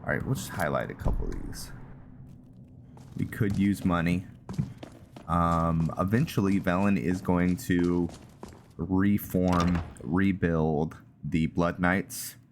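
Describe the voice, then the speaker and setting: A man hosting a gaming channel, giving casual roleplay commentary in a halting way, thinking aloud as he plays. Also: sits low, fairly even intonation, a little hiss or hum.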